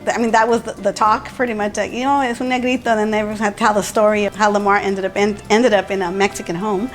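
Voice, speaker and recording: A woman's voice: mid-range voice, little pitch movement, quick pace. A woman chatting and telling stories about a childhood neighbor, slipping into bits of Spanish. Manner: chatty storyteller, slips into Spanish. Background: soft music.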